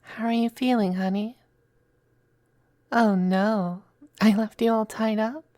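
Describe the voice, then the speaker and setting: A performer acting a smug, affectionate demon femboy in an intimate ASMR roleplay, teasing and coy, with breathy turns into whispers. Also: mid-range voice, steady tone, slow, a little mellow, dry recording, studio quality, natural voice. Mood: teasing, amused.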